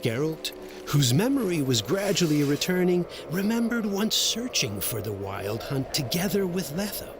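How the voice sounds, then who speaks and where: Wry, animated fantasy storyteller. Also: male voice, on the high side, moderate pace, noisy background. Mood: wry, theatrical. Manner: wry, playful asides to the listener. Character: wry male storyteller recounting a fantasy saga as the hero's buddy. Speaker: narrator of a game story recap. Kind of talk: scripted storytelling narration.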